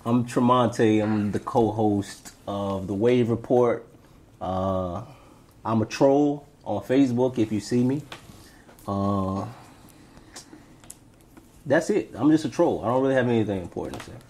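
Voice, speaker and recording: Brief: man from Tampa, low mellow husky voice, reflective podcast co-host telling his story. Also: unhurried, pauses often. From Tampa, Florida, US. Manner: reflective, trails off quietly. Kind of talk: casual interview storytelling.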